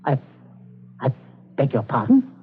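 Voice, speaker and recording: A man's voice: mid-range voice, huge pitch swings, a little slow. An actor playing a middle-aged father, fussy and over-polite with menace underneath, in 1940s radio style. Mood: nervous. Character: genteel middle-aged English father, coolly menacing beneath nervous courtesy. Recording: muffled, noisy old radio recording.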